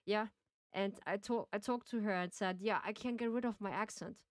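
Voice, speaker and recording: A woman's voice: medium pitch, steady tone, moderate pace, no echo, clean studio sound. A young woman from Austria with an Austrian accent, chatting casually and laughing as she streams a game. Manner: chatty, rambling, laughs often, many restarts. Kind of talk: casual chat while playing and reading a game.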